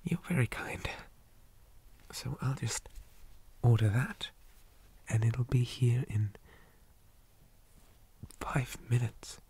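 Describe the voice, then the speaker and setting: A man playing a shy, polite zombie boy in an ASMR roleplay, speaking softly, often in whispers, hesitant and flustered with old-fashioned manners. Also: mid-range voice, takes it slowly, long pauses, full character voice. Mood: polite, tentative. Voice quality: soft, breathy, often whispered.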